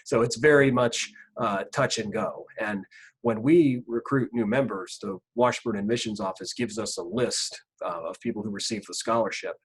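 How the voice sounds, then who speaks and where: A man hosting an alumni interview, speaking in a measured, formal way with occasional fillers. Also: on the low side, slightly rough, rough recording. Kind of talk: interview questions and commentary.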